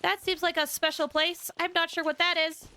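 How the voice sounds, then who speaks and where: A woman livestreaming a game, chatting casually with viewers in a bubbly, expressive way as she thinks aloud. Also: very high-pitched, moderate pace. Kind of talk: casual gameplay chat with viewers. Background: game music.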